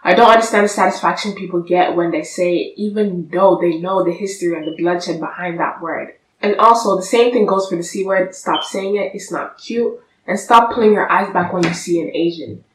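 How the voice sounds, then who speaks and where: Young woman vlogger, blunt and sassy, low-ish voice, set back from the mic in a roomy space. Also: a little fast, few pauses, distant mic, slight background noise. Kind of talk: direct-to-camera vlog. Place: room indoors, seated on a sofa. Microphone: camera at a distance. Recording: echoey room, voice from off-mic.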